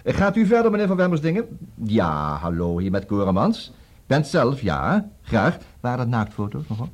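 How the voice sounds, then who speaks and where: An actor with a Netherlandic Dutch accent playing a busy, brisk, distracted male lawyer in a radio comedy, repeating himself and hesitating. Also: medium pitch, huge pitch swings. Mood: brisk, flustered. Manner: hesitant fillers, repeats words, juggles several conversations.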